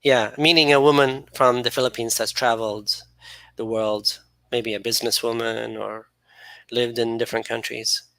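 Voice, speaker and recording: A middle-aged man whose first language was Arabic, hosting a relaxed livestream, rambling and reading out viewer comments. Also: medium pitch, normal speed, creaky, dry recording. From grew up speaking Arabic and English, then England and Norway. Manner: relaxed, rambling, teasing with frequent laughs. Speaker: channel host reading live chat. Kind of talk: casual livestream chat.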